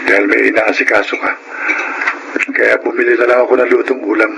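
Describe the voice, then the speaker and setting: An actor playing a supportive middle-aged husband who calmly reassures his wife in a radio drama. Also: mid-range voice, a little fast, vocal fry, background noise, lightly acted. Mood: reassuring. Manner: calm, reassuring. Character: steady, supportive husband and father. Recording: music playing underneath.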